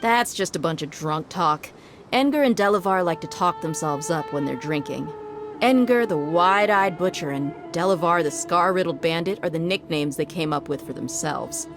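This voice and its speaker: A woman's voice: lowish voice, lively intonation, normal speed, over music. A woman voicing a confident female desert mercenary in a game cutscene, cocky and teasing. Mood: smug. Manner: cocky, teasing, laid-back. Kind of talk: video game cutscene dialogue.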